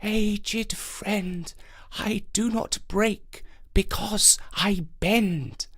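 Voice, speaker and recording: Give the storybook a slender, trembling reed, played by a man, high and whispery. It is gentle and wise, speaking its verse in a slow, measured way. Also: breathy edge, exaggerated voice. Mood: gentle, advising.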